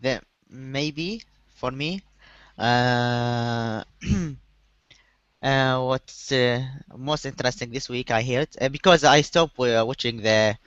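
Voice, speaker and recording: Hesitant man, very slow and dry. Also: medium pitch, fairly bright tone, no room sound. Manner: hesitant, many uhs, throat-clearing. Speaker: student. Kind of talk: halting answers in a second language. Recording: dry, dull lo-fi audio.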